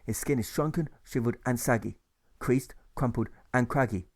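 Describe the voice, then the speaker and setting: Male author-narrator, medium pitch, rhythmic rhyming prose, measured emphasis on lists and rhymes. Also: darkish tone. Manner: rhythmic, list-heavy, drawn-out rhymes. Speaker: author reading own audiobook.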